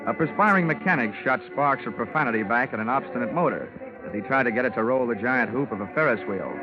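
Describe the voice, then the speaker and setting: A man acts out a sardonic freelance investigator from a vintage radio mystery. He delivers his lines dry and clipped, with a wisecracker's edge, in a middling male pitch. The old recording is dull and muffled, with music clearly behind him. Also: lively intonation, quick pace, close to an everyday voice. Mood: wry, matter-of-fact. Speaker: actor playing the narrating lead. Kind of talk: 1940s-50s radio detective acting, wry first-person narration.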